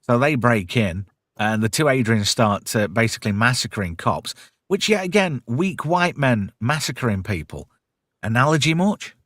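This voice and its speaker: A British man hosts a casual pop culture chat in a slangy, irreverent way. His pitch swings widely as he talks, and he swears freely. The sound is close and dry. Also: expressive, moderate pace, fairly bright tone, dry recording. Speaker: host of a pop culture review show.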